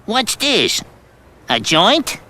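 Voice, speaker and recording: A very bright, forceful, cocky cartoon rabbit. The pitch is high and leaps about, the delivery slow, drawling and teasing. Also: male voice, huge pitch swings, full character voice. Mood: wry, cocky.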